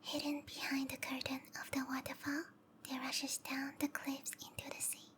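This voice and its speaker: A woman voicing a sweet, obsessive snake-woman lover in a whispered ASMR roleplay, speaking softly and tenderly with intimate, lingering phrasing. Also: sits high, monotone, moderate pace. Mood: adoring. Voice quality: soft, breathy whisper.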